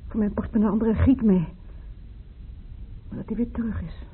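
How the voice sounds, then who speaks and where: An actress in a radio drama playing a grieving, weary psychiatric patient who speaks haltingly, fixated on her dreams. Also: mid-range voice, steady tone, close to an everyday voice. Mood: weary, longing. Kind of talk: Dutch radio drama acting. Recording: tinny, band-limited, hissy radio play recording.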